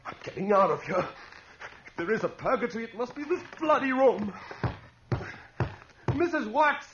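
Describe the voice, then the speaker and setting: A man's voice: very high-pitched, lively intonation, normal speed, a bit bright. An actor playing a stubborn, skeptical man who turns frantic and childlike, theatrical and scornful, in an old-time radio horror drama. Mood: indignant. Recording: dull lo-fi radio drama with noise.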